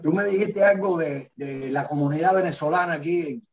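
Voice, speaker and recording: An older man from Cuba with a Cuban Spanish accent, a guest analyst talking in an emphatic, lively way. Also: fairly high pitch, normal speed. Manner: lively, emphatic, acts out quotes in varied voices. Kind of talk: animated interview talk.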